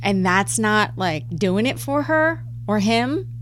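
Female advice-podcast host, conversational and challenging, medium pitch, pointed questions, light hum on the recording. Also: animated, slow pace, a bit bright. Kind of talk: casual advice podcast debate.

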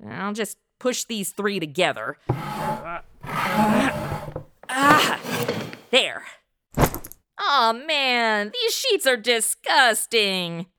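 A woman's voice: fairly high pitch, very animated, slow pace, very noisy. A woman playing a sassy, entitled modern princess in a comic radio play, sarcastic, slangy and exasperated. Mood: disgusted.

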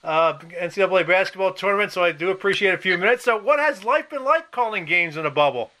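A man hosting a sports podcast interview, speaking somewhat haltingly as he puts his questions. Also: high voice, lively intonation, sharp tone.

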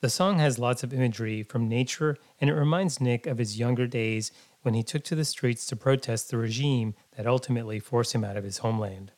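A man hosting a podcast, reading a scripted introduction and asking interview questions in a measured, friendly way. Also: medium pitch, a little mellow, no room sound. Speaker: podcast host.

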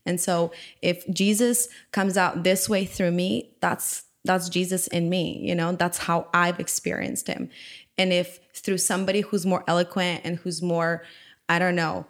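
Casting note: immigrant woman from Ukraine, guest on a faith podcast, animated, laughs easily, slightly low voice. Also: a little slow. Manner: animated, laughs often, acts out quoted voices. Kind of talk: casual faith conversation.

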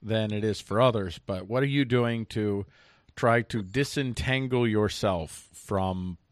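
An American man hosting a casual political talk show, speaking in a halting way and repeating words for emphasis. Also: on the low side, no echo, studio quality.

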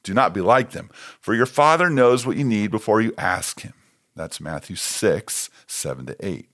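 A man's voice: fairly low pitch, lively intonation, normal speed, fairly bright tone. An American man, a pastor, reading a scripted devotional.

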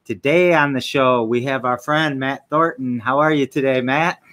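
A man in his forties hosts a casual podcast interview. He talks in a friendly, chatty way, tripping over his words and restarting sentences. His voice sits at an ordinary middle pitch. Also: moderate pace, a bit bright. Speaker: podcast host.

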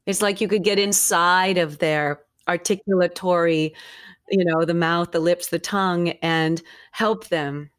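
A woman hosting an interview, slowly summarizing and affirming what her guest says. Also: fairly low pitch, fairly even intonation. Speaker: podcast host. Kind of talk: podcast interview.

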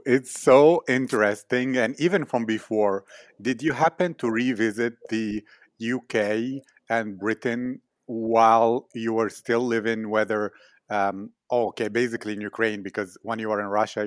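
A man's voice: lowish voice, fairly even intonation, normal speed, no echo, clean studio sound. A man interviewing in a reflective conversational style, asking long, winding, analytical questions.

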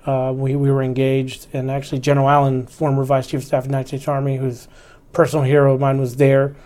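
Steady, even-toned army officer. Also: male voice, medium pitch, fast talker. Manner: earnest, instructive, stresses fundamentals. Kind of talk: reflective interview talk.

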